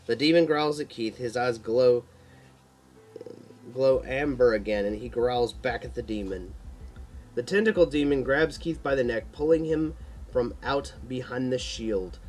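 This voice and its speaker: A man's voice: medium pitch, measured pace, darkish tone. Man narrating an action horror story. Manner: stumbles and repeats words while reading. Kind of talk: amateur scripted audiobook narration, action horror.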